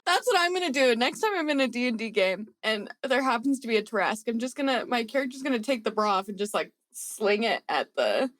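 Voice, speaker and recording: A woman's voice: fairly high pitch, lively intonation, fairly quick, hardly any pauses, fairly bright tone, dry recording, clean studio sound. A woman chatting casually and playfully as a podcast co-host, very informal, saying "like" often. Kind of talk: casual chat.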